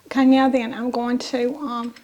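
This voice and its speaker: High-pitched Southern woman, slightly raspy from bronchitis. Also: level tone, moderate pace, darkish tone. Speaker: host of a home cooking channel. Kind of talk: casual cooking demonstration.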